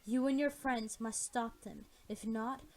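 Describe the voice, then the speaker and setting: A woman voicing a regal, solemn pony princess in an audio story, speaking in a formal, measured manner. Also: on the high side, fairly even intonation, darkish tone.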